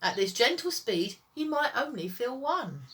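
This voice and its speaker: Sly, gleeful storybook wind spirit, played by a woman, high and animated, delighting in naughty schemes. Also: measured pace. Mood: gleeful mischief. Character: mischievous, gleeful wind spirit plotting tricks. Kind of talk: children's rhyming storybook character voice.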